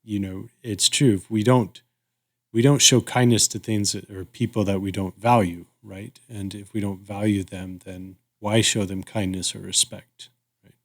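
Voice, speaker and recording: A man hosting a reflective podcast discussion, speaking in a measured, thoughtful way with pauses between phrases. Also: fairly low pitch, steady tone. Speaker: podcast host.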